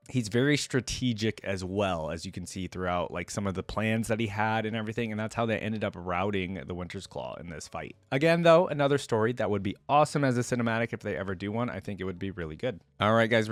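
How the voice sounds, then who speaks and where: Guy with lowish voice doing casual gamer reaction commentary, really dry audio, chatty and informal. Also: no echo. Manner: conversational, informal gamer speech. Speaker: reaction video host. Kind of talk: casual commentary and reading aloud.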